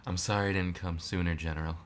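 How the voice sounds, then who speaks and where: A man voicing a young adult male fox ex-pilot who is earnest and guilt-ridden, speaking softly and hesitantly. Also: low-pitched, steady tone, quick pace, natural voice. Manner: soft-spoken, hesitant, stammers. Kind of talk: fan audio drama reading.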